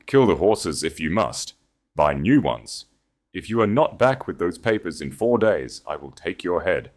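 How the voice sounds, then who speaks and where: A ruthless duke barks his orders in a low, dangerous growl, as a man puts him on. The voice sits very deep, menacing and clipped, the kind of lord who threatens heads. It is a storybook reading with a commanding edge. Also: very animated, unhurried. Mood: furious.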